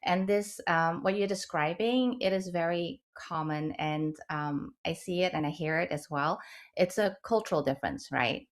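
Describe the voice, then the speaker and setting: A woman from the Netherlands speaks as a webinar panelist in a slightly low voice. She explains and advises informally, stressing her points and tagging them with little check-in questions. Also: normal speed.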